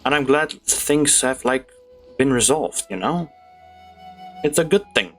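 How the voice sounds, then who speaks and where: A video game streamer chatting casually over gameplay. The voice sits at a middle pitch and rambles playfully in gamer slang, with faint music behind. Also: animated, unhurried, a bit bright.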